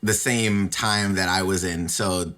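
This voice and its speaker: A man in his thirties hosting a podcast, asking questions in a relaxed, slangy way and reacting eagerly. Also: sits low, slow. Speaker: podcast host. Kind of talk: casual podcast interview.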